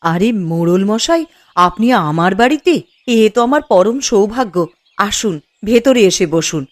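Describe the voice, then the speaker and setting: A woman's voice: medium pitch, lively intonation, normal speed, no echo, lightly acted. A woman playing a humble, wronged village widow in a cartoon moral story, deferential and plaintive. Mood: respectful.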